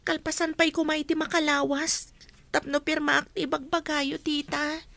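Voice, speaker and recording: A woman's voice: sits high, little pitch movement, normal speed, creaky, a bit bright. An actress with an Ilocano accent playing an earnest, cautious young woman in a radio drama, respectful in manner. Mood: guarded.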